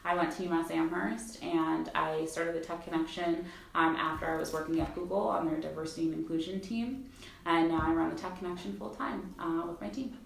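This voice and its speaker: A woman with a low, gravelly voice speaks concisely about her career. The mic sits at a distance, and the room adds a noticeable echo. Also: fairly even intonation, a little fast. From Boston, United States. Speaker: CEO of a recruiting company. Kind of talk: interview. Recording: echoey room, distant mic, faint noise.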